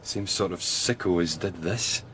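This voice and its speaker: Deep-voiced downbeat junior policeman. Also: a little slow, fairly bright tone, slight background noise. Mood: grim, downbeat. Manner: casual, sighing, clipped questions. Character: downbeat, curious male junior police officer. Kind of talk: naturalistic radio drama acting.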